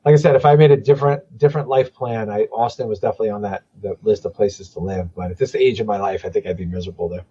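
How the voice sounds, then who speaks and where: A man in his forties, a podcast guest, chatting casually with a voice that is going hoarse from a cold, swearing freely and rambling with lots of restarts. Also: on the low side, steady tone, quick pace, few pauses, mellow, highs cut off. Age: 40s. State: losing his voice, starting a cold. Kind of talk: casual chat.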